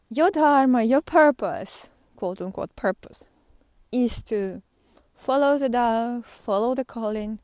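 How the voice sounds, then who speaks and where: A woman with a mid-pitched voice speaks slowly and reflectively on spiritual matters. She thinks aloud as she goes, leaving pauses and earnestly restating phrases for emphasis. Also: darkish tone, no echo, clean studio sound. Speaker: spiritual teacher and artist. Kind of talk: reflective spiritual talk, unscripted monologue.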